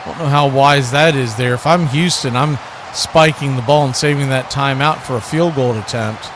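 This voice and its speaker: An American man giving live play-by-play football commentary in a folksy, animated way, full of homespun exclamations and bursting into loud calls. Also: medium pitch, normal speed, noisy background. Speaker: play-by-play sports commentator on a radio watch party.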